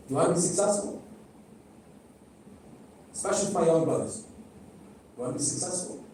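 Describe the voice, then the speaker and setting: A middle-aged man whose first language is Arabic preaches a sermon from some distance off the mic, his voice ringing through a very echoey hall. He sounds high for a man and mellow, and he keeps putting questions to the crowd and repeating phrases to drive them home. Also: slight background noise. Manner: direct, questioning the audience, repeating phrases for emphasis. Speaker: speaker at a religious gathering. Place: large hall.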